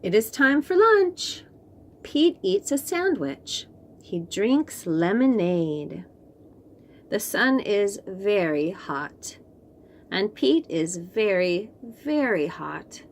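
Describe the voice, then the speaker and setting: A woman reading a picture book aloud to young children, slow and expressive, then chatting about the books. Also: mid-range voice, a little hiss or hum. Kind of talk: read-aloud storytime for young kids.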